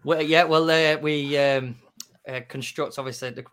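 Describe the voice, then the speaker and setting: A man's voice: fairly high pitch, fairly even intonation, normal speed, fairly bright tone, clean tone. A man from Manchester hosting a podcast interview, asking friendly, easygoing questions with some fillers. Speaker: podcast host.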